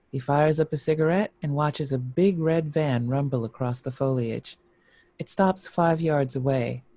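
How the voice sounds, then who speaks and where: A man's voice: on the high side, gravelly, warm tone, dry recording. A man giving scripted narration, reading a screenplay's stage directions.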